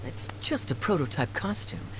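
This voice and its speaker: A woman voicing a calm, wry young stage actor in a video game. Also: sits low, normal speed, noisy background. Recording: game audio with music behind.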